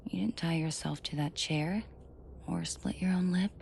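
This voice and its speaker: Woman acting a low, gravelly female mafia boss. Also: steady tone, a little mellow, a little hiss or hum. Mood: gentle, commanding. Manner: controlled, commanding, slow and deliberate, often dropping to whispers. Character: female mafia boss, menacing to her men, protective and tender. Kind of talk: scripted roleplay audio drama, intimate direct address to listener.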